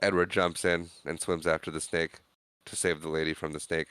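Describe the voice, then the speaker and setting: Smooth-voiced man recapping a film. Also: low-pitched, normal speed, no echo. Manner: animated storytelling, retells scenes with quoted lines. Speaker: podcast co-host. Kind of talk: casual chat recapping a film.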